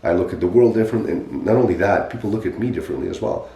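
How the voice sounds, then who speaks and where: A lower-pitched man of about forty talks with a mellow, dark tone, and his voice gets emphatic as he tells his story. His many restarts are clear in an echoey room. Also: racing. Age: 40s. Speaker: podcast host sharing his fitness story. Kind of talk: casual podcast chat.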